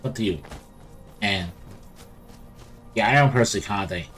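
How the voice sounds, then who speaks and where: A man's voice: on the low side, normal speed, a bit bright, faint music behind. Offhand man doing game commentary. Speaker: let's play host.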